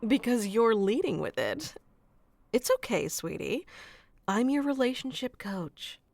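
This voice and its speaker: Slow, tender female AI coach played by a woman. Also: on the high side, very animated, vocal fry, heavily acted. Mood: candid, patient. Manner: tender, reassuring, speaks softly to one listener. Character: sweet, nurturing female AI relationship coach, protective and gentle. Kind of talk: scripted ASMR roleplay.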